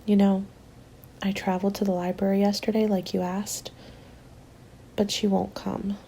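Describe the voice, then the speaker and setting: Woman acting a hushed, weary apocalypse survivor. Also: medium pitch, level tone, normal speed, gravelly, slight background noise, lightly acted. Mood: tender, reassuring. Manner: soft, hushed and intimate, often slipping into whispers. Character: weary, hopeful female zombie-apocalypse survivor writing a winter journal. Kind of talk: ASMR roleplay, scripted dramatic narration.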